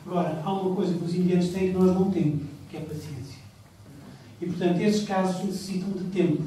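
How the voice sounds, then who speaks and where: A man's voice: high voice, fairly even intonation, fast talker, warm tone. A man giving a public talk, hesitant and repeating words before stating points firmly. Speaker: lecturer. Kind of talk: public talk with audience questions. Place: lecture room. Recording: echoey room from far off, noisy.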